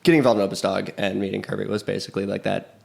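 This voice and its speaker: A man with a gravelly voice pitched on the low side talks in a casual interview. He sounds eager and keeps chiming in to agree with his bandmates. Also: steady tone, racing. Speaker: band bassist.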